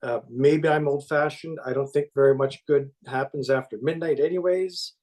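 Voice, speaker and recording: A man, a councillor, speaking against a motion in council debate in an earnest, halting, self-deprecating way. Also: a little high, steady tone, a little mellow. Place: council chamber.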